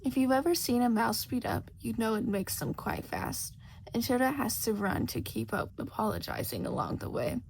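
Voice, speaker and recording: Lively, creaky woman narrating a story. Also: fairly high pitch, normal speed, a little hiss or hum, poor audio. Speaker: podfic narrator.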